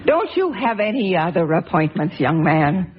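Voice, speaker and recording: A woman playing a stubborn, feisty old spinster who scolds in folksy, sharp-tongued turns of phrase. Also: fairly low pitch, sing-song, normal speed. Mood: scolding. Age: older. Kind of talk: radio drama acting. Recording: dull recording under loud music.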